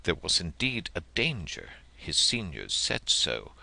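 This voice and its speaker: Very deep, bright, forceful male narrator. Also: creaky. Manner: measured, expressive storytelling. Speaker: audiobook narrator. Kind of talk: dramatic literary audiobook narration.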